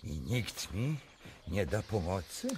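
Slow, tragic doomed Polish king. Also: deep voice, big pitch swings, noisy background, exaggerated voice. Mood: anxious. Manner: solemn, declamatory, impassioned. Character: tragic doomed Polish king, proud, anguished, self-sacrificing. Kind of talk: radio drama acting.

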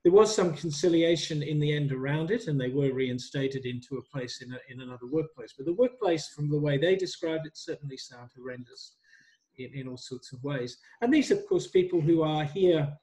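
A middle-aged man teaching a university workshop over a video call on a headset, relaxed and hesitant with many ums. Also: fairly high pitch, a little fast, rough recording. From migrant to Australia. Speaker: university tutor.

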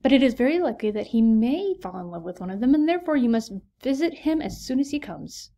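A woman reading aloud, voicing a fussy, excitable middle-aged mother who exclaims and insists in a raised voice. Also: on the high side, fast. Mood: insistent.

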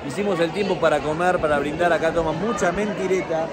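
A man's voice: on the high side, steady tone, quick pace. A man in his thirties with an Argentine accent, chatting casually to camera as a vlogger. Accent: Argentine Spanish. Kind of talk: casual vlog chat to camera. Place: crowded street outdoors at a parade. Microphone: phone at arm's length. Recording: busy street, loud crowd noise.

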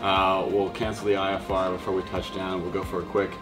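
Young male pilot, deep register, conversational explainer, clear music bed. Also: flat delivery, fairly quick. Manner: frequently tags statements with "right?". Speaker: pilot explaining flight planning. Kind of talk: casual explanatory chat. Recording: background music under speech.

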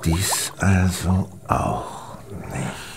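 A man playing a brilliant, eccentric, self-assured detective in a German radio play, with a lecturing and excitable manner. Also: deep voice, drawn-out words, slight background noise, full character voice. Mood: absorbed. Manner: theatrical, lecturing, self-satisfied.

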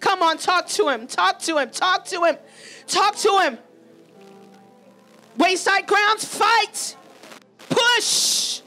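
High, urgent woman preacher over music. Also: slow pace, pauses often, pushed and bright. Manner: urgent, pleading, exhorting with repeated phrases. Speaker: preacher leading a prayer at an altar call. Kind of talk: fervent charismatic sermon and prayer.

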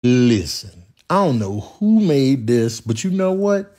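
Man acting a deep, weary, grumpy middle-aged man. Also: very animated, slow pace, darkish tone. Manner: weathered, drawling, grumbling. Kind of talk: comedic monologue voiceover.

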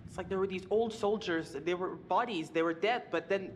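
A woman's voice: on the low side, normal speed, a little hiss or hum, natural voice. Blunt, rattled young woman, played by an actress. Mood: shaken. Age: young adult. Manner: blunt, sweary. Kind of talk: naturalistic horror game dialogue.

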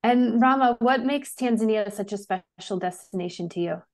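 A woman's voice: medium pitch, normal speed. A woman in her thirties hosting a travel show over a video call through an earphone mic, upbeat and brief in her replies. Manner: upbeat, short affirmations. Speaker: podcast host.

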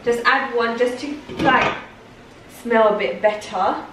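Young woman, bright voice, chatty home cooking vlog; camera across an echoey kitchen over sizzling pan. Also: a little high, takes it slowly, far from the mic, noisy background. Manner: chatty, instructive, playful. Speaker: vlogger cooking. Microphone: camera mic from across the kitchen. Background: frying and stirring sounds.

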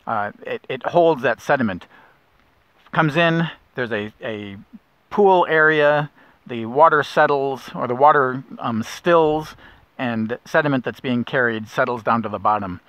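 A middle-aged man guiding a kayak nature trip, explaining plants in a relaxed way with small restarts, recorded outdoors on a lake. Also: medium pitch, no room sound. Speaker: naturalist guide. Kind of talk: outdoor nature walk narration. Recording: dull lo-fi outdoor audio.

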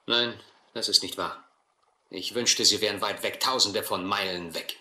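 An actor playing an earnest, wary, educated adventurer in a radio drama, speaking in a measured, literary way. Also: male voice, lowish voice, pushed and bright, roomy sound. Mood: wary, uneasy. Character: earnest, wary male castaway narrator, educated gentleman adventurer. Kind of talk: scripted first-person narration and dialogue in radio drama.

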